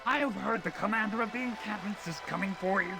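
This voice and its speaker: A man's voice: high voice, quick pace. A man playing an urgent lookout delivering a warning in a game cutscene. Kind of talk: dramatic game cutscene acting. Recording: music under the voice.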